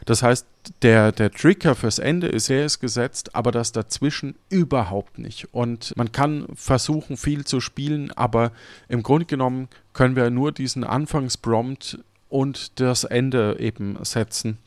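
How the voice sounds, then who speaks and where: A man's voice: mid-range voice, unhurried, no room sound. A man hosting a podcast in casual chat, explaining things in a rambling way. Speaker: podcast host. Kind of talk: casual post-show chat.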